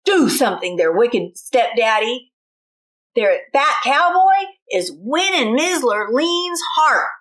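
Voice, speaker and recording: A woman's voice: a little high, sing-song, slow pace, fairly bright tone, exaggerated voice. Woman putting on a jealous, whiny young cowboy. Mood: jealous, suspicious.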